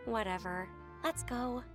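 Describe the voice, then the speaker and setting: A woman's voice: medium pitch, slow, over music, lightly acted. A woman playing an aloof, catty young woman in a video game, languid and easily irritated. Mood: irritated, dismissive. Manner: languid, snippy.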